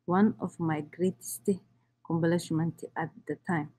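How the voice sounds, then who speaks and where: A woman, reading her own life story in halting English. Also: sits low, fairly even intonation, warm tone. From Somalia. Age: 30s. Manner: halting, with restarts and non-native grammar. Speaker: immigrant storyteller.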